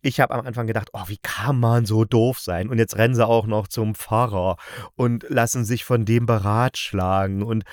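Man podcasting solo, lowish voice, rambly n playful colloquial chat, very dry sound. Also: steady tone, normal speed, no room sound. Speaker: podcast host. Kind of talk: casual solo review of radio plays.

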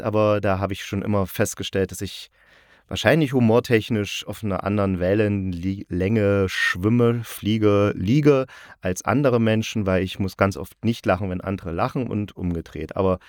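A man's voice: on the low side, dry recording, clean studio sound. A man hosting a podcast about audio dramas, chatting casually and rambling a little, with wry, ironic asides. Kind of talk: casual solo podcast talk.

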